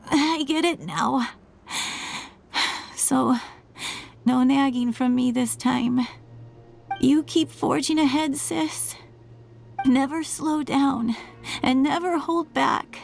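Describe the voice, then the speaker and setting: A woman playing a wounded, devoted younger sister in a video game, speaking weakly and haltingly with breathy sighs. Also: high voice, full character voice. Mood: gentle, fading. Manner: halting, weak, gentle. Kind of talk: dramatic video game voice acting.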